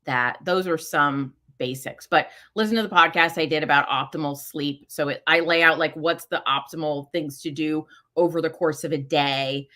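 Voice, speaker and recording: A woman's voice: low voice, moderate pace, few pauses, pushed and bright. A middle-aged woman hosting a live question-and-answer session, talking casually with many fillers and self-corrections. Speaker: host answering listener questions.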